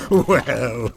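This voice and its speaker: A man voicing a huge, arrogant demon lord in a game cinematic, sneering theatrically with drawn-out words. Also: mid-range voice, creaky, heavy background noise, exaggerated voice. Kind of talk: dramatic game cinematic acting.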